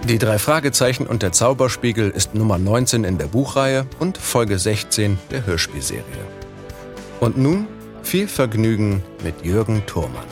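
A man's voice: on the low side, very animated, normal speed, over music. A man reading a scripted podcast introduction as narrator.

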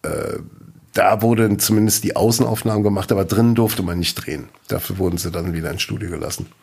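A man in his forties co-hosting a casual podcast chat, telling stories in a lively way and laughing often. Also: low voice, fast, vocal fry, breathy edge. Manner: laughs a lot, lively storytelling. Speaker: podcast co-host.

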